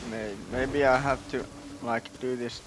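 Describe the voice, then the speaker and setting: A man's voice: mid-range voice, fairly even intonation, a little slow. A man giving casual, unscripted gameplay commentary, half muttering to himself as he plays. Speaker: gaming streamer playing Genshin Impact. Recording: noticeable background noise.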